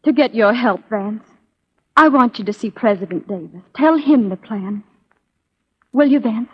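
A woman's voice: on the high side, lively intonation, unhurried, breathy edge. An actress playing a poised, guarded young Southern woman spy in 1940s radio acting style, on a lo-fi broadcast. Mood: sly, earnest.

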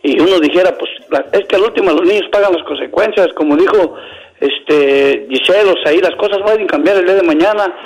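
A man's voice: high-pitched, brisk, rough recording. A man calling into a radio show, talking hesitantly with many fillers. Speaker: radio caller.

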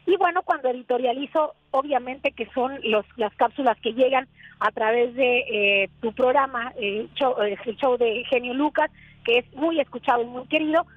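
High-voiced young woman on a phone line. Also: normal speed, no room sound. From Mexico (Sonora). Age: young adult. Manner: earnest, hesitant fillers. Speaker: journalist guest. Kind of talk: radio call-in interview.

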